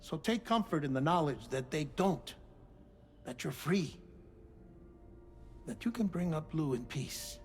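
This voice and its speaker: An actor playing an earnest, gentle middle-aged scientist in a cinematic video game, speaking in a deliberate, formal, explanatory way. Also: male voice, fairly high pitch, lively intonation. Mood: reassuring. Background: music.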